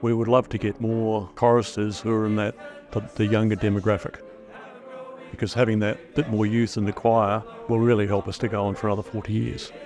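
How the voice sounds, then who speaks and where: Low, flat-voiced man, distant. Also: fairly quick, faint music behind. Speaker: choir member. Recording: voice picked up from a distance.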